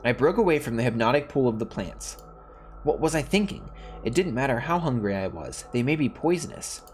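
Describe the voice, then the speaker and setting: A man's voice: mid-range voice, very animated, fairly quick. A man hosting a gaming video, reading a visual novel's narration aloud and dropping in casual asides. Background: faint music.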